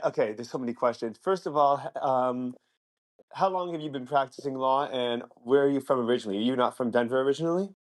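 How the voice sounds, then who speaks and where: A man hosting a podcast interview, eager and enthusiastic, rambling with many fillers as he asks his questions. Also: medium pitch. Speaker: podcast host.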